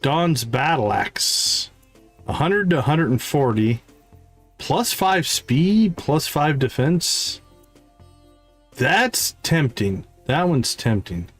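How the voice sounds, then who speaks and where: A man livestreaming a game, thinking aloud in casual commentary and reading item stats in a deliberate, musing way. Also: a little high, big pitch swings, soft background music.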